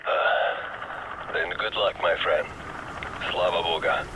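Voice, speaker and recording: An actor playing a loyal, wry Russian pilot in a video game, measured and grave, with a Russian accent. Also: male voice, deep voice, fairly even intonation, background noise. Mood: solemn. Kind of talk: dramatic video game acting.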